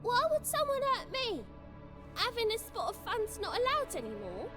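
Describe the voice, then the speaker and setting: Very high-pitched impish little girl godling. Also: huge pitch swings, moderate pace, over music, exaggerated voice. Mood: gleeful teasing. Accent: British. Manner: childlike, teasing, colloquial. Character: mischievous little girl godling, playful and stubborn. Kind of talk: video game character acting.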